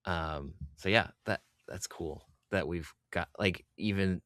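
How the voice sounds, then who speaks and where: A man's voice: low-pitched, takes it slowly, a bit bright, no echo, clean studio sound. A man hosting a podcast interview in a casual fan-chat style.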